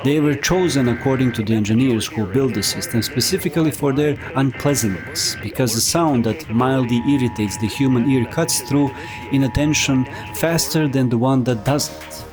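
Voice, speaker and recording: A man's voice: medium pitch, rough recording. A man narrating a scripted explainer as a video essayist, with wry, conversational asides. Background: music.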